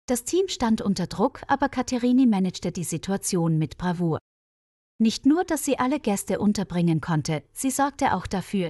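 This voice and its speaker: Woman, mid-pitched voice, story narration, measured clear phrasing, dry recording. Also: a little mellow, no room sound. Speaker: narrator of graded German stories for learners. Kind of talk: scripted narration, read-aloud story.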